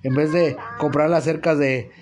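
A man's voice: a little high, steady tone, moderate pace, a little mellow. A man in his thirties with a Mexican accent, hosting a casual podcast chat and rambling in slang with lots of restarts. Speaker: podcast host. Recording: noisy home podcast recording.

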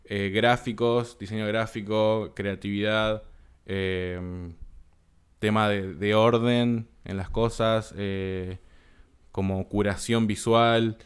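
A man in his twenties with a Rioplatense accent, co-hosting a casual podcast chat, unhurried and full of hesitant fillers. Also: lowish voice, fairly even intonation, clear, resonant tone. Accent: Rioplatense Spanish. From Argentina. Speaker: podcast co-host.

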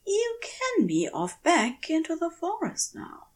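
A woman voicing a gentle, easily flustered, polite man in a story, speaking softly and carefully. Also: very high voice, huge pitch swings, slow, voice pushed up, heavily acted. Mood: soft, soothing. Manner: soft, careful, coaxing. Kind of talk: storybook character dialogue.

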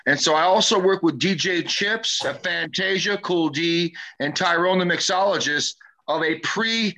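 A man's voice: sits high, a little slow, bright, forceful tone, poor audio. A middle-aged man, a guest historian, talking at length in an online show interview, often repeating and restarting his phrases.

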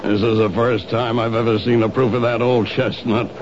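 A man's voice: mid-range voice, flat delivery, normal speed, noisy background, natural voice. A man playing a dry, amused police lieutenant questioning a witness in a 1970s radio drama. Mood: wry. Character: wry male police lieutenant. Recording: dull lo-fi old radio broadcast.

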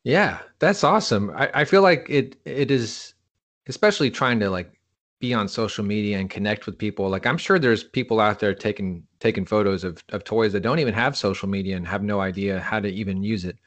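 A man's voice: fairly low pitch, fairly quick, fairly bright tone. A man from the East Coast of the United States hosting an interview in a laid-back, friendly way, calling his guest "man". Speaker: podcast host. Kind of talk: casual interview chat.

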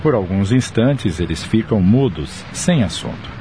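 Low-voiced Brazilian male radio narrator. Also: expressive, unhurried, heavy background noise. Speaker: radio drama narrator and presenter. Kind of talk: scripted narration and credits reading.

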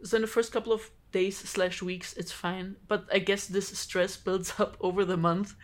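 A woman from Georgia, a native Georgian speaker, telling a personal story in a casual group chat with animated bursts and laughs between phrases. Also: mid-range voice, moderate pace. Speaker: podcast co-host.

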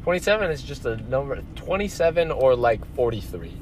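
A man's voice: medium pitch, very animated, brisk, a little hiss or hum, low-quality recording. A man about twenty, co-hosting a casual podcast, rambling with lots of "like" and some swearing. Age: young adult. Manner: rambling, heavy on fillers and swearing. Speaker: podcast co-host. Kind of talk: casual chat.

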